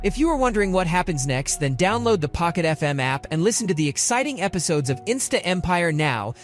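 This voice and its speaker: A man's voice: sits high, very animated, fairly quick, faint music behind, voice pushed up. A man playing an upbeat young male character delivering a scripted app promo.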